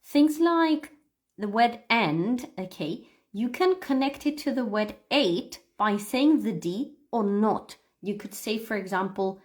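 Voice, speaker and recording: A woman hosting a live English pronunciation lesson, explaining things to viewers in an instructive, expressive way with emphasis and frequent checks for understanding. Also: on the high side, takes it slowly. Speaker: English pronunciation teacher, livestream host. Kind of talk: live lesson talking to viewers, demonstrating read-aloud.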